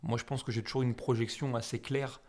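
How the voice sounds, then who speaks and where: A man's voice: medium pitch, flat delivery, fairly quick, a little mellow. A man in his thirties from France, chatting casually as an interview guest, rambling and self-deprecating with lots of fillers.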